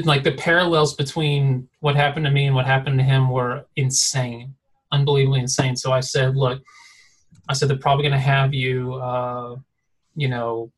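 Man, casual podcast storyteller, rambling with fillers, flat monotone delivery. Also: mid-range voice, poor audio. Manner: rambling, many fillers and restarts. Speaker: design mentor guest.

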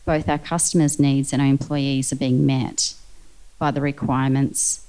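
A woman's voice: extremely low pitch, flat delivery, normal speed, mellow, smooth, rough recording. A woman speaking earnestly as a panel guest, leaning on the word actually. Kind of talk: panel discussion.

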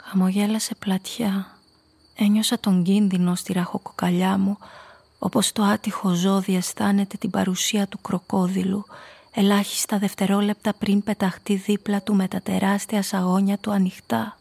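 A woman's voice: mid-range voice, flat delivery, creaky, darkish tone, slightly rough. An actress playing a frightened female blackmailer who tells her own story intimately in a scripted radio play. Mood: tense, measured. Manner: intimate, confessional first-person storytelling.

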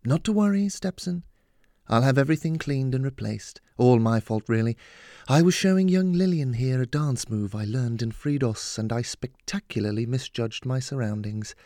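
A man voicing an aging gentleman mentor, kindly, courteous and wry, in audiobook dialogue. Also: mid-range voice, normal speed, no room sound, clean studio sound, lightly acted. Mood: breezy, reassuring. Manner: flippant, courteous, composed.

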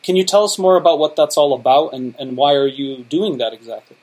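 Male interview host, husky and reflective, a little high-pitched. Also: a little fast, a little mellow, poor audio. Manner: reflective, thinks aloud with fillers. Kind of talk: conversational interview.

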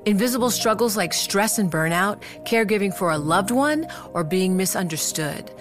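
A woman reading a scripted advertisement as a spokesperson, in an earnest, gentle way. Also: mid-range voice, animated, moderate pace, faint music behind.

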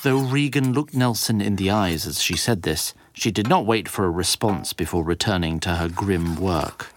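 A man's voice: sits low, normal speed, slight background noise. A man reading scripted narration as the narrator of an audio drama.

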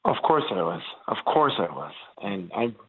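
A man's voice: lowish voice, very animated, moderate pace, breathy edge. A man in his forties from Montreal, whose first language is Italian, chatting on the radio with lots of fillers. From Montreal, Canada. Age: 40s. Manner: chatty, rambling, many fillers. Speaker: radio guest, former football player. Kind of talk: casual radio chat. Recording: tinny phone line.

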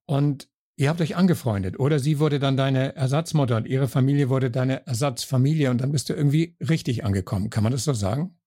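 Older male host from northern Germany, husky and mellow, measured interviewer. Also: mid-range voice, no room sound, studio quality. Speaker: podcast host. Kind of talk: scripted intro then interview.

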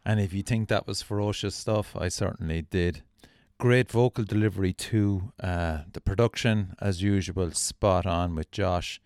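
A man's voice: sits low, unhurried, hardly any pauses, darkish tone, smooth, no echo, studio quality. A man hosting a music podcast, talking with enthusiastic, effusive praise. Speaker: podcast host. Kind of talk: music podcast talk and interview.

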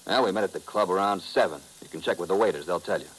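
An actor playing a man who loyally and briskly defends his friend in a 1950s radio drama. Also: sits low, a bit bright, slightly rough, rough recording. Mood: defensive.

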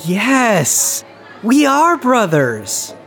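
A man's voice: very high-pitched, huge pitch swings, very slow, fairly bright tone, faint music behind, heavily acted. A man playing a childlike alien posing as a human, cheerfully literal and stiffly eager, in a dramatised fan fiction reading.

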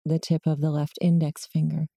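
Very mellow, low-voiced woman guiding meditation. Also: flat delivery, gravelly, no room sound, studio quality. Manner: slow, measured, with long pauses between short prompts. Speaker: meditation guide. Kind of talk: guided body scan meditation.

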